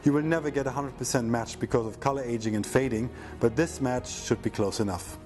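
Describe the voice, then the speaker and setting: A man's voice: medium pitch, steady tone, brisk, music in the background. A middle-aged man giving scripted voice-over narration about his own restoration project.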